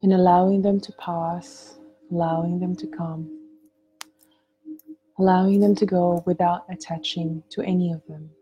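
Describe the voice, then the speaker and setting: A woman leads a guided meditation in a very soft, dark, relaxed tone. She speaks very slowly and gently, with long pauses, in a slightly low register. Some ambient noise is clearly audible behind her. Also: muffled recording.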